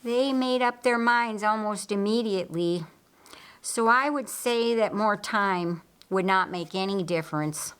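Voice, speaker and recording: A woman's voice: medium pitch, unhurried, a bit bright, clear, resonant tone, lightly acted. A woman voicing an observant, earnest female disciple in an audiobook, explaining things in a frank, matter-of-fact manner. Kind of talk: audiobook character dialogue.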